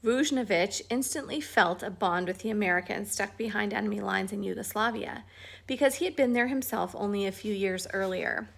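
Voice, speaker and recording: A woman reading a history book aloud at home, at an unhurried pace and with small restarts. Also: medium pitch, gravelly, fairly bright tone. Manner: firm, scolding talk to a pet between passages. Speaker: reader of a book.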